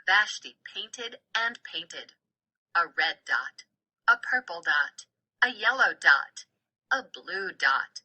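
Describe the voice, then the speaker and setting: A woman reading a children's storybook aloud in a deliberate, expressive way. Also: fairly low pitch, rough recording. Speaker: storybook reader. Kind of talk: scripted storybook narration.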